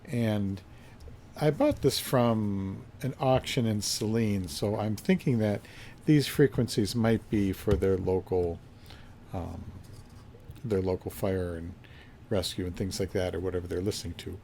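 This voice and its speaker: A man's voice: lowish voice, unhurried, gravelly, warm tone. A man giving a casual hands-on demonstration, musing and thinking aloud as he goes. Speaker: reseller reviewing a vintage find. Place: indoors, in a basement. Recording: faint background noise.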